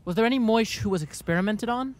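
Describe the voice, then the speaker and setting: An actor playing an insistent questioner who probes a survivor's memories in an audio drama. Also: male voice, high-pitched, fast, a little hiss or hum, natural voice. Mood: pressing.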